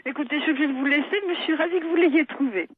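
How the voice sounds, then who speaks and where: A woman's voice: high voice, rapid-fire. A woman doctor explaining things on the telephone in a matter-of-fact, courteous and didactic manner. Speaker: doctor taking a phone call. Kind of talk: explanatory phone conversation. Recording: tinny narrow phone line.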